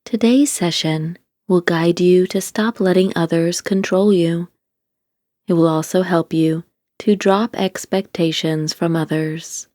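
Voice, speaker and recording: A woman narrating a scripted guided meditation in a calm, soothing, deliberate way, giving gentle instructions. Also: on the low side, dry recording, clean studio sound.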